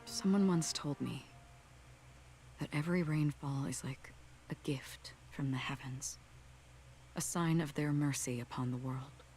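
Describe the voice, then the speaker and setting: An actress voicing a calm, solemn woman wanderer in a game cutscene, speaking softly and evenly. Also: sits low, measured pace, pauses often, a little hiss or hum. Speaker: game character. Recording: game audio with music under it.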